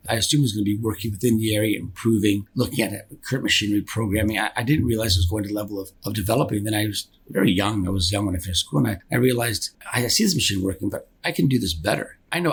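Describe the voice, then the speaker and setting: A man's voice: on the low side, creaky, a bit bright, a little hiss or hum, rough recording. A man explaining his work as an interview guest, in a rambling, self-correcting way. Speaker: guest, engineering company founder.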